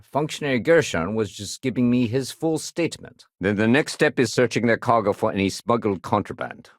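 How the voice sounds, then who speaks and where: A man playing a dutiful, fair-minded security sergeant in a video game, speaking in an earnest, measured, official way. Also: mid-range voice, no room sound, clean studio sound, lightly acted. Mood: calm, businesslike. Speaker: game voice actor. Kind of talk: video game dialogue acting.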